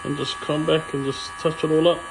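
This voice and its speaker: A man's voice: on the high side, fairly quick, vocal fry, noisy background. Young male barber explaining a haircut. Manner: relaxed, plain instructions with frequent ums. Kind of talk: hands-on haircut tutorial.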